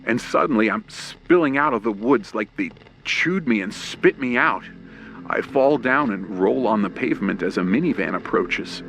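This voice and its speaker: A man narrating a scripted first-person horror story in old radio play style, with a dramatic, halting delivery. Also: fairly low pitch, expressive, fairly bright tone, rough recording. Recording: clear background noise with ambience and vehicle sounds. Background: rain, ambience and vehicle sound effects.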